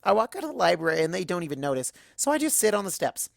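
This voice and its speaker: A woman voicing an excitable young female cartoon pony, bubbly and breathless, rambling through a first-person story. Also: fairly low pitch, rapid-fire, gravelly, dry recording, studio quality, full character voice. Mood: sulky.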